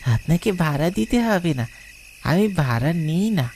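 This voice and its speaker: A man plays a protective village cart driver's ghost in a horror cartoon. He is gruff yet kind and commanding, with a raised, swooping pitch over clear cricket and frog noise. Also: sing-song, a little slow, darkish tone. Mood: calm, reassuring.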